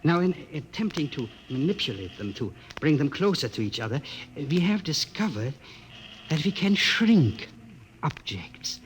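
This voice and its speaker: An actor playing a gentle, earnest older male physicist with formal, careful phrasing, in a 1950s radio drama. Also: fairly high pitch, very animated, moderate pace, slight background noise, low-quality recording.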